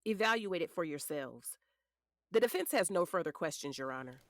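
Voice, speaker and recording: A woman playing a sharp, confident defense lawyer in an audio drama, formal and pointed as she addresses a court. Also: on the low side, lively intonation, normal speed, slight background noise. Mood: cool, formal.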